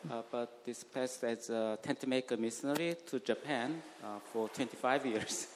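A man from South Korea introducing himself at church, speaking haltingly with many fillers into a handheld microphone. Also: mid-range voice. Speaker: visitor. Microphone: handheld mic passed around. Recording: echoey church hall, faint noise.

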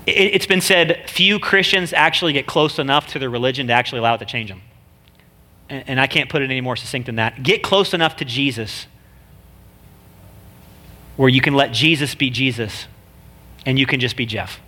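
A man's voice: mid-range voice, fairly quick, pauses often, pushed and bright. A man with a nasal voice, a guest preacher giving a casual, joking sermon full of slang. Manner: chatty, joking, slangy delivery.

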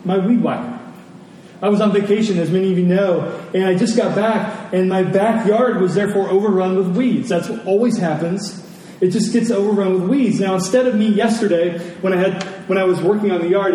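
A man's voice: high voice, mellow. A man preaching a sermon, earnest and emphatic, repeating phrases for stress. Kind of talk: sermon with personal anecdotes and scripture reading. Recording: very echoey hall, distant mic, faint noise.

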